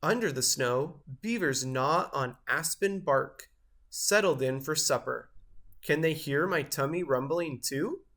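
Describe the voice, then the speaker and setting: A man reading a children's picture book aloud, with expressive delivery and measured, careful phrasing. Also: fairly high pitch. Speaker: storybook reader.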